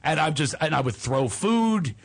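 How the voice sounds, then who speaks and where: A deep-voiced man telling funny stories in a casual chat, laughing often and acting out the voices of the people in them. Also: big pitch swings, normal speed, vocal fry, slightly rough. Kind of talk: comic storytelling chat.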